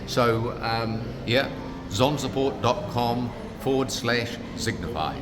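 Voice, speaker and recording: A middle-aged man with a New Zealand accent, a business founder making an upbeat, punchy pitch in a casual interview. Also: takes it slowly. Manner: upbeat, punchy salesman delivery. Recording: background music, trade fair.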